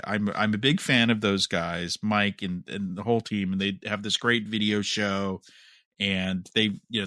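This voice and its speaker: A man co-hosting a fan podcast, talking casually and a bit haltingly as he thinks aloud. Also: lowish voice, bright, forceful tone, no room sound, clean studio sound. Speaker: podcast co-host.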